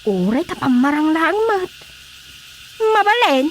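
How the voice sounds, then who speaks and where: An actress playing a distressed wife in a radio drama comedy, hesitant and whimpering, with a Filipino accent. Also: female voice, high-pitched, very animated, a little slow, soft background music. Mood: hesitant, embarrassed. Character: distressed wife seeking help, hesitant and tearful. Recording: faint background noise.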